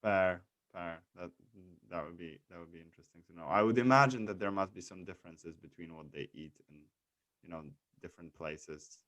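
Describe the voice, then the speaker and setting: Deep voiced guy interviewing, curious, trips over his questions, dry close sound. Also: a little fast, fairly bright tone, dry recording, low-quality recording. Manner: curious, stumbling questions with restarts. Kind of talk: casual conversation.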